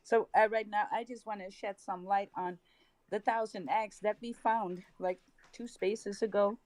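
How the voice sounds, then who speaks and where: A woman's voice: medium pitch, steady tone, unhurried, darkish tone, no room sound. A woman with a Dutch accent co-hosting a crypto livestream, giving casual market commentary in an upbeat way, laughing often and using hype slang.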